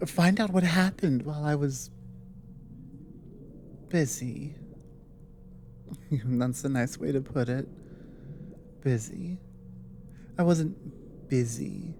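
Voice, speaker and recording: A woman playing an earnest, resolute female dragon ally in an ASMR roleplay, speaking in halting, intimate phrases with pauses. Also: low voice, dark tone, slight background noise, full character voice.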